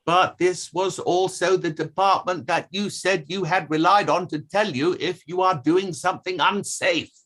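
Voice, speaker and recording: A man's voice: sits high, fairly even intonation, moderate pace, few pauses, fairly bright tone. A man playing a precise, pressing inquiry barrister, cross-examining formally in a play table read. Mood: sceptical, insistent. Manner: probing, formal, hesitant over document references.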